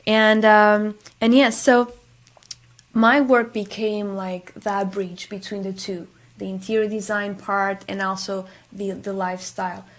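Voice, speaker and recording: Woman, Portuguese accent, radio interview guest, medium pitch, narrow intonation, halting conversational delivery. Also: flat delivery.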